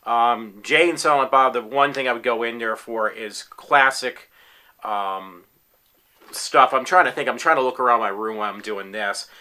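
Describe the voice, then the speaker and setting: A man's voice: mid-range voice, normal speed, sharp tone. Rambling enthusiastic man in his forties. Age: 40s. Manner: rambling, many fillers and restarts; enthusiastic fan. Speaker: applicant in a tryout video. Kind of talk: casual self-recorded talk. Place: his room at home.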